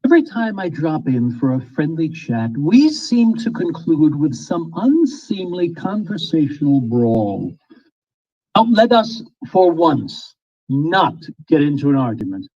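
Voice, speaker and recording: An actor playing a pompous, easily flustered small-town mayor in old-time radio comedy style, wordy and prone to stammering. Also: male voice, fairly high pitch, very animated, measured pace, mellow, highs cut off.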